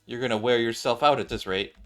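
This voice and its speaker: A man's voice: medium pitch, quick pace, fairly bright tone, clean tone, soft background music, pitched below the performer's own voice, close to an everyday voice. A man voicing a level-headed, weary female companion in a game story, dry and scolding in a restrained way.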